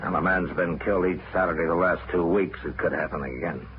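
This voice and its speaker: An actor playing a calm, firm frontier marshal with a measured, commanding delivery. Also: male voice, deep voice, natural voice. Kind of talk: 1950s radio drama acting. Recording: tinny, hissy old radio broadcast.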